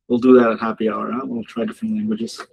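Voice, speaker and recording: A man's voice: lowish voice, gravelly, darkish tone, a little hiss or hum, low-quality recording. A man hosting a live trading session from Dubai, chatting casually, joking with viewers in the chat and laughing often. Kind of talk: casual live-stream commentary. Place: home office in Dubai.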